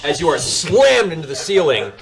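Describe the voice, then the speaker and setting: A man's voice: a little high, sing-song, normal speed, gravelly, low-quality recording. A man narrating a tabletop fantasy game as game master, in a dramatic, improvised storytelling style. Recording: music behind the voice. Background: background music.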